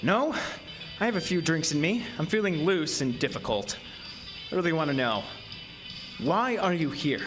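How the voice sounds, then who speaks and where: Guy acting haunted weary carnival man, kinda high voice, halting and intense, horror radio play w music under it. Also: animated, close to an everyday voice. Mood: wary, challenging. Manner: halting, intense, breaking off mid-thought. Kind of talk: audio drama acting with first-person narration.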